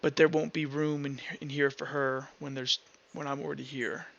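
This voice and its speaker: A man voicing a cold, brooding, world-weary villain in a tabletop game, with halting, deliberate pauses. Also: medium pitch, flat delivery, creaky, dull audio, natural voice. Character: brooding male villain of a dream world, coldly menacing, world-weary.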